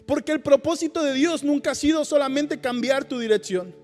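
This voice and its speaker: Male pastor, high-pitched, fervent and emphatic in sermon and prayer. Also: a little fast, darkish tone, long reverb tail, faint music behind. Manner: fervent, emphatic preaching with repeated calls of "Señor". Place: church service.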